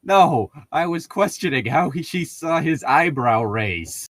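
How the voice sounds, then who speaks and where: A man with an animated, swinging pitch reads a story aloud among friends. He cuts in with sarcastic, nitpicking remarks, recorded close and dry. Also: measured pace, no room sound. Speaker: co-host reading fan fiction aloud. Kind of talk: casual group read-aloud with joking commentary.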